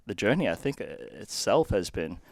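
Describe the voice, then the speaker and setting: A man's voice: on the low side, sing-song, normal speed, no room sound, studio quality. Man from Hong Kong, thoughtful guest. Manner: thoughtful, many fillers and restarts. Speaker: guest, YouTube channel co-host. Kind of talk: casual podcast chat. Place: dark studio set with leather chairs.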